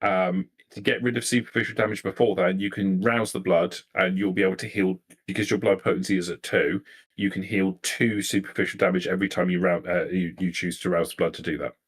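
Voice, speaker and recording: A man narrating a tabletop roleplaying game as game master, halting as he searches for words. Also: sits low, steady tone.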